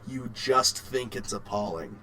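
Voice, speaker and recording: A man's voice: on the low side, measured pace, pushed and bright, poor audio. A man co-hosting a casual podcast, talking informally with repeated words and some swearing. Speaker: podcast co-host. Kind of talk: casual chat about video games and films.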